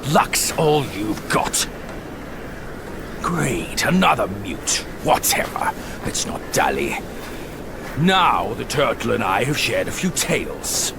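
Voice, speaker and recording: A man's voice: medium pitch, big pitch swings, slow, vocal fry, noisy background, exaggerated voice. A man voicing a brash, sarcastic, grumbling beast warrior in a mythic video game, crude and theatrical in manner. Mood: sardonic, grumbling. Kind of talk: dramatic video game character acting.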